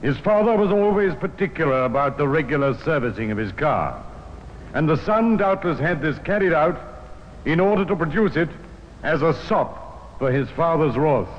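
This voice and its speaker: A man's voice: on the high side, lively intonation, moderate pace, long reverb tail, close to an everyday voice. A male actor plays a police superintendent in an old radio crime show. He explains the case in formal, assured, factual tones. The recording is muffled with slight noise. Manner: formal, matter-of-fact. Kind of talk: old-time radio crime drama acting.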